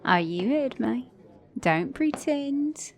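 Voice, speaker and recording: A woman's voice: sits high, lively intonation, takes it slowly, exaggerated voice. Flirty, sassy woman mechanic played by an actress. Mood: flirty, teasing. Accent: British or Australian. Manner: teasing, intimate, addressing the listener as a date. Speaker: ASMR roleplay voice actor. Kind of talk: ASMR romance roleplay.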